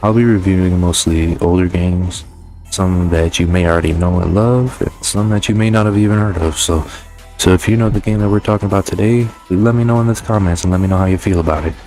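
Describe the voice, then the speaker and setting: A man with a low voice hosts a video game review. He talks casually and informally with plenty of slang, conversational throughout, over a faint bed of music. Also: fairly quick, darkish tone. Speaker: host of a game review channel.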